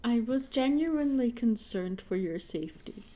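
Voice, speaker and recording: A woman's voice: fairly high pitch, lively intonation, normal speed, warm tone, a little hiss or hum. A woman chatting casually and playfully while livestreaming her artwork. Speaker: digital artist livestreaming.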